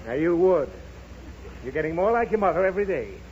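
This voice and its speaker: Exasperated high-pitched American radio dad. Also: male voice, sing-song, fairly quick. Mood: grumpy, impatient. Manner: irritable, sarcastic, huffy explanations. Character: exasperated, grumbling father of a pestering little girl. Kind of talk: 1930s radio comedy acting. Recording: dull, noisy 1930s radio recording.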